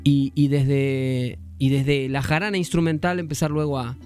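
A man's voice: mid-range voice, level tone, normal speed, darkish tone, faint music behind. A man from Argentina, a musician guest on a radio show, talking reflectively and searching for words as he goes. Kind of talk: radio interview.

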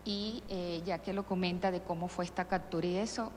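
A woman's voice: lowish voice, steady tone, darkish tone, a little hiss or hum. Woman reporter, echoey hall. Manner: brisk, direct questioning. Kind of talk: press conference questions.

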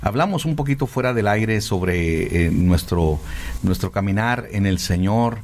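Host guy with a lowish voice, encouraging, affirming his guest. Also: lively intonation, a little slow, darkish tone, slight background noise.

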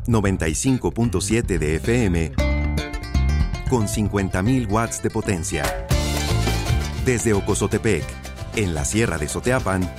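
A man reads a scripted radio station identification in a measured, formal announcing manner. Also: fairly low pitch, lively intonation. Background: loud music.

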